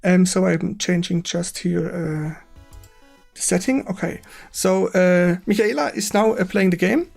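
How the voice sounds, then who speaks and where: A stream host who is a native German speaker, talking in hesitant, halting English with a German accent and repeating words. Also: medium pitch, creaky, a little mellow, soft background music. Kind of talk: livestream interview in non-native English.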